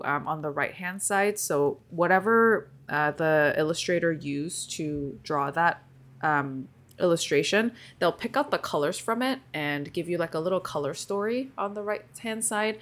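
A woman's voice: lowish voice, animated, normal speed. A young woman co-hosting a podcast, born in Korea with Korean as her first language, chatting casually and explaining in detail with lots of "um"s. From South Korea. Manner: explains in detail with many fillers. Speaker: podcast co-host. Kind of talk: casual chat.

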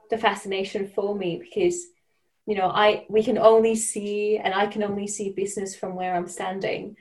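A woman's voice: mid-range voice, low-quality recording. A woman, a podcast guest speaking thoughtfully, with frequent fillers and restarts. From Finland. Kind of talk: conversational interview.

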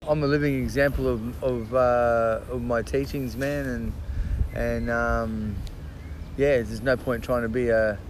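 A man chatting as a podcast guest, halting and full of restarts and fillers, swearing casually. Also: mid-range voice, fairly even intonation, over music. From Australia. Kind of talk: casual interview chat.

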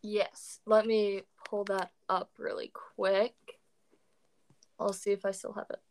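A young woman talks through poems in a loose, rambling way, full of "like" and restarts. Her voice has a gravelly creak, and she sounds worn out after a long day. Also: mid-range voice, level tone, slow. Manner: rambling, many fillers, self-corrections. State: tired. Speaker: co-host discussing poetry. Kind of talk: casual chat.

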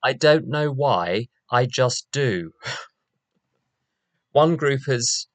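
An older man with a London accent speaks at a recovery meeting in a measured, reflective and quietly wry way. His voice sits in an ordinary middle register. The sound is dry, with almost no echo from the room. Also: mid-range voice, lively intonation. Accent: London English. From England. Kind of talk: personal share at a recovery meeting.

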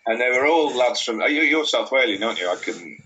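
A man's voice: medium pitch, expressive, fairly quick, sharp tone, lo-fi sound, slight background noise. A man with a North Welsh accent, a beer writer chatting casually and rambling through anecdotes with many restarts. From Wales. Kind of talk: casual chat.

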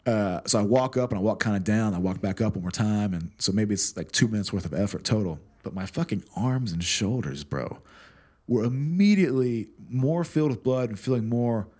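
Man, lower voice, profane and animated casual storyteller, self-deprecating. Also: fairly quick. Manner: often repeats and restarts words.

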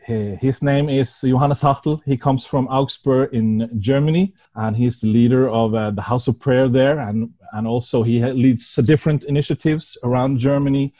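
A Norwegian man hosts an interview in English with a warm, mellow voice. He is effusive and keeps breaking in with praise for his guest. His pitch sits in an ordinary middle range. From Norway. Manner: effusive, quick to exclaim praise. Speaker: podcast host, prayer house leader. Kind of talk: podcast interview.

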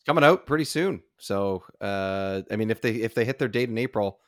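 A man with a fairly low voice chats casually as a podcast host. The sound is dry, with very little room in it. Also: lively intonation, a little fast, dry recording. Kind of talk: casual chat.

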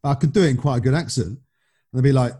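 A man's voice: medium pitch, racing, warm tone, breathy edge. A man, a comedian and actor, chatting casually in an interview, self-mocking and often restarting his sentences.

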